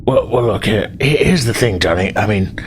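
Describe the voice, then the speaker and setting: A man's voice: fairly low pitch, lively intonation, normal speed, close to an everyday voice. A man voicing a nervous, awkward starship engineer in a tabletop game, stammering and hesitant. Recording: clear background noise.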